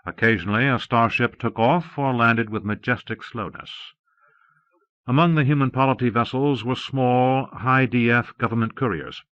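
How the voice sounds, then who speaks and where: A man reading a novel as an audiobook narrator, in a measured, formal manner. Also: medium pitch, no echo. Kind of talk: scripted narration of a science fiction novel. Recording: dull lo-fi cassette audiobook.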